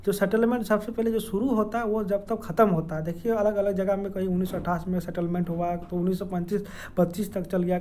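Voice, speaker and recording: A man with a Jharkhand accent giving an informal explainer in a halting, hesitant way, with many filled pauses and repeated words. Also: high-pitched, warm tone, a little hiss or hum. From Dumka district, Jharkhand, India. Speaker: educational video creator. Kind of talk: informal explainer with screen walkthrough.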